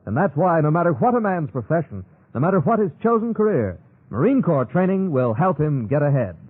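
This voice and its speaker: A man's voice: on the high side, very animated, fairly quick, husky. A man reading scripted announcements in a mid-century radio style. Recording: narrow-band old radio broadcast.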